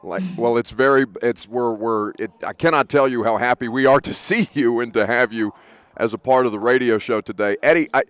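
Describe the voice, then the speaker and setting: A man's voice: medium pitch, a little fast, fairly bright tone, clean tone, dry recording. Man, sports radio host, eager, rambling, restarts a lot, dry close sound. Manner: rambling, self-correcting, eager. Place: studio booth at a sports event.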